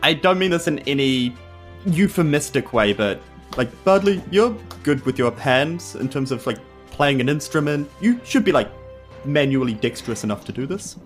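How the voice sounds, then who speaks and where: A man's voice: fairly high pitch, lively intonation, moderate pace. A man taking part in a tabletop game with casual, joking chat. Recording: faint music behind the voice.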